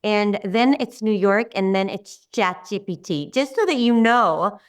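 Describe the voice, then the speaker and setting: A middle-aged Israeli woman whose first language is Hebrew, talking as an interview guest in a warm, rambling way with many restarts. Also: mid-range voice, unhurried, clean tone, far from the mic. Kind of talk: casual interview talk.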